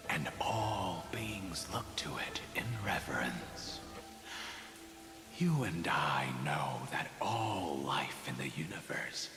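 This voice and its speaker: A ghostly male shade with an extremely deep, whispery voice. He speaks slowly and formally, grave and foreboding, in a long reverb with game music behind him. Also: full character voice. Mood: solemn, ominous. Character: ghostly shadow of memory, grave ominous man. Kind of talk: scripted video game drama.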